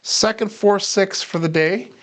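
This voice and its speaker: A man calmly narrating his own craps dice practice on a livestream, walking viewers through each bet and roll. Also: high voice, measured pace. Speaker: craps practice live streamer. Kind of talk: casual play-by-play livestream narration.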